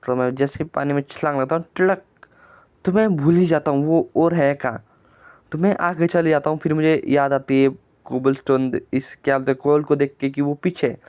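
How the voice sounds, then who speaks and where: A man with a sore throat narrating his own gameplay for his channel in a plain, flat storytelling way, often repeating words. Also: on the high side, smooth, dry recording. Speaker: gaming channel creator.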